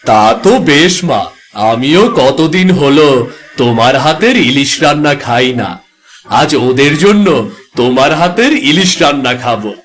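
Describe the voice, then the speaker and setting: A man's voice: a little high, expressive, unhurried, a bit bright. A man playing a genial, food-loving village farmer and devoted son in a cartoon, with a hearty manner. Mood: cheerful. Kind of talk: Bengali cartoon dialogue.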